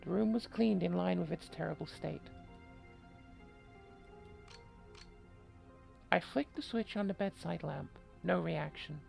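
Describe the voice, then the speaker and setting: A game player voicing a wry, self-reproaching female visual novel protagonist who narrates in deliberate, literary first person. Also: medium pitch, creaky, a little mellow, soft background music. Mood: curious, suspicious.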